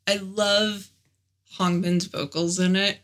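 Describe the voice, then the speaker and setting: A woman's voice: medium pitch, flat delivery, slow pace, fairly bright tone. A woman co-hosting a podcast in casual chat, gushing with enthusiasm about the music. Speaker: podcast co-host.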